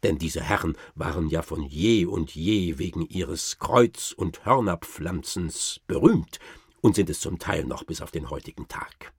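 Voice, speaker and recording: Gravelly, deep-voiced boastful huntsman. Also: lively intonation, a little slow, no echo, studio quality, exaggerated voice. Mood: boastful, savouring. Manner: theatrical, self-satisfied storytelling with savoured emphasis. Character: boastful old nobleman huntsman telling absurd tall tales. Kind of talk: dramatic literary storytelling for radio.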